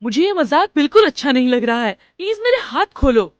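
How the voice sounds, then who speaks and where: A woman's voice: high-pitched, very animated, a little fast, no room sound, muffled recording. A woman playing a timid, frightened young girlfriend in a horror cartoon story, anxious and pleading. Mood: uneasy. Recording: loud music behind the voice. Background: music.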